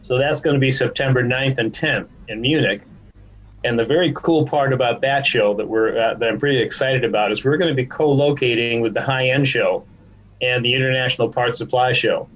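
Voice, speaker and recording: A man's voice: mid-range voice, a little fast, fairly bright tone, highs cut off. A man speaking conversationally as a guest executive in a podcast interview. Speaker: podcast guest, trade association chief executive.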